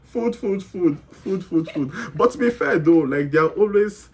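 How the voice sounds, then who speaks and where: A man's voice: a little high, expressive, moderate pace, a little mellow, breathy edge. A young man with a Nigerian accent answering interview questions in a casual, rambling way, often repeating words and correcting himself mid-sentence. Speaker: guest.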